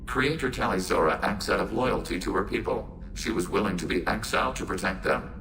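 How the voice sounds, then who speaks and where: Formal, emotionless synthetic android. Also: male voice, fairly low pitch, fairly quick. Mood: flat, formal. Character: calm, literal-minded collective-machine alien android. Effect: synthetic robot filter. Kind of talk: video game voice acting. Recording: clear background noise and ambience.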